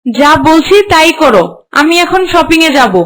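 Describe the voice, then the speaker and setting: A woman's voice: high-pitched, animated, normal speed, fairly bright tone. A woman voicing a demanding, hot-tempered young village wife in a cartoon, scolding. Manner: scolding, commanding. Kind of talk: cartoon voice acting.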